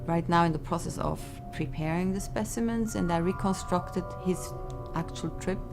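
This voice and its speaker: A woman from Switzerland who manages a museum's insect collections, explaining her work in a relaxed, matter-of-fact documentary interview. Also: on the low side, normal speed, over music.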